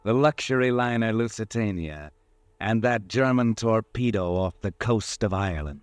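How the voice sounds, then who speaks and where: A man's voice: lowish voice, lively intonation, moderate pace, clear, resonant tone, dry recording, lightly acted. A man voicing a sardonic, all-knowing narrator. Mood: knowing, mocking. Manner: sardonic, speaks to the hero in second person. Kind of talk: 1940s radio drama narration.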